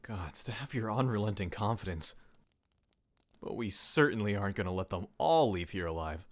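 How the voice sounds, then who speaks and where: An actor playing a wry, long-suffering cleric in a fantasy audio drama, sardonic and exasperated. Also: male voice, lowish voice, lively intonation, normal speed, no echo, studio quality. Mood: wry exasperation.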